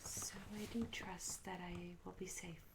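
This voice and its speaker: A woman voicing a shy, curious young medieval tavern maid in a soft-spoken, half-whispered ASMR roleplay, gentle and hesitant with formal old-fashioned wording. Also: lowish voice, steady tone, a little slow, vocal fry. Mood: reserved, candid. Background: tavern ambience sounds.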